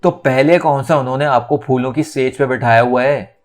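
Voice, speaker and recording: A man's voice: medium pitch, moderate pace, soft background music, pitched above the performer's own voice, natural voice. Man reading as a defiant, determined young woman.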